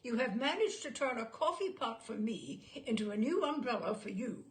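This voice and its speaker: A woman's voice: on the high side, animated, normal speed, natural voice. A woman voicing a blustering, exasperated husband who lectures pompously in a story reading. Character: blustering, exasperated husband, stern household disciplinarian.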